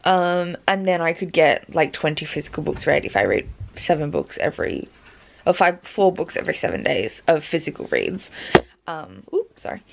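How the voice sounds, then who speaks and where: A woman in her twenties, a book vlogger, chatting casually at home with plenty of ums and asides, her voice gone croaky. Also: deep voice, sing-song, moderate pace, background noise. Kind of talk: casual chat.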